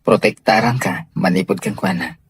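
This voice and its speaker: A man's voice: lowish voice, moderate pace, slightly rough, no room sound. A Filipino man playing a devoted young sweetheart in a radio drama, speaking earnestly and tenderly. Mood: earnest, reassuring. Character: devoted young male sweetheart surprising his beloved with a house. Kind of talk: Ilocano radio drama acting.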